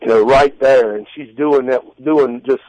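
A man in his forties speaking as an interview guest, telling stories casually in halting, folksy phrasing. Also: mid-range voice, darkish tone, no echo, low-quality recording. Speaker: guest, strongman competitor and contest judge. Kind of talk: casual interview answers and storytelling.

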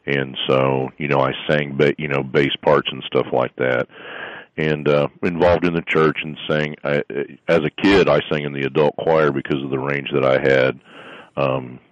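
Very deep-voiced middle-aged man. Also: level tone, normal speed, no room sound, muffled recording. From South Florida, USA. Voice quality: very deep bass voice. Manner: rambling, lots of fillers and restarts. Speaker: guest, strength coach and musician. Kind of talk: casual interview storytelling.

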